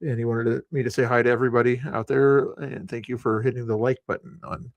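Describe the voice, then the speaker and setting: A man's voice: medium pitch, little pitch movement, quick pace, no echo. A man hosting a live stream, chatting in a laid-back way and speaking to his viewers. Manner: laid-back, talks to the chat. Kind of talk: casual live-stream chat. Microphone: streaming microphone.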